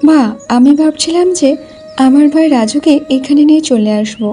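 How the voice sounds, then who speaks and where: A woman's voice: high voice, moderate pace, mellow, soft background music, close to an everyday voice. A woman playing a devoted, anxious young married village sister in a cartoon folk tale, pleading and worried.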